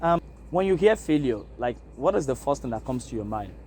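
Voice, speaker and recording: A man's voice: medium pitch, big pitch swings, fairly quick, warm tone, a little hiss or hum. A young Nigerian man with a Nigerian accent, vlogging in a hesitant, reflective way, full of fillers. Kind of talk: casual self-interview monologue.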